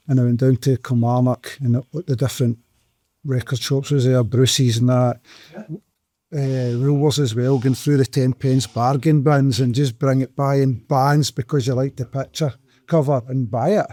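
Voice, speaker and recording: A man's voice: mid-range voice, mellow, no room sound. A man of sixty, telling easygoing, rambling stories. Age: 60s. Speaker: music fan guest. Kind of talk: casual reminiscing.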